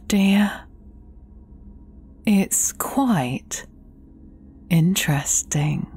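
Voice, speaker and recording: A woman playing a menacing, gloating evil fairy sorceress in a fairy-tale audio drama, taunting deliberately and often dropping near a whisper. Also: lowish voice, dark tone, slightly rough, full character voice. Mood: coaxing, sinister.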